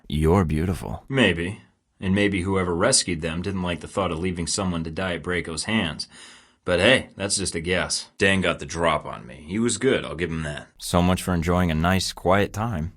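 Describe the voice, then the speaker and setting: A sardonic secret agent for a video game. His voice sits very deep, and he delivers wry lines with a deadpan, unflappable cool. He is a man who sounds dryly amused and in control. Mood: flirtatious, wry. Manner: dry, deadpan wisecracking. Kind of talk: video game dialogue, separate takes.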